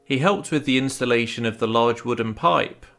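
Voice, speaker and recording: A man playing a polite, helpful middle-aged church man in an adventure game, speaking in a plain, measured way. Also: mid-range voice, creaky, a bit bright, faint music behind. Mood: matter-of-fact.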